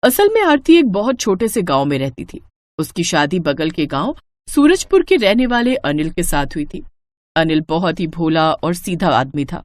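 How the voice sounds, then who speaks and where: A woman's voice: on the low side, very animated, normal speed, darkish tone, no echo. A woman narrating a scripted storybook tale.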